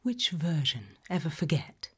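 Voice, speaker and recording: A woman acting as an eerie British female researcher in a creepy ASMR roleplay. Her low-pitched, very breathy whisper is slow and deliberate, probing with quiet menace. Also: sing-song, exaggerated voice.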